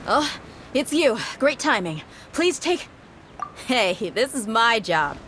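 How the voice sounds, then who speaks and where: A brusque bodyguard in a video game. She is blunt and confident, with a slightly high voice and lively pitch, over faint ambient noise. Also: slow pace, heavily acted. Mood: gruff, grudging. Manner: blunt, grudging. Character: brusque, confident hired female bodyguard fighter. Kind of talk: video game cutscene acting.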